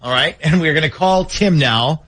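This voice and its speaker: A man's voice: a little high, moderate pace, fairly bright tone, slightly rough, dry recording. A man hosting a casual morning radio show, talking through a prank call.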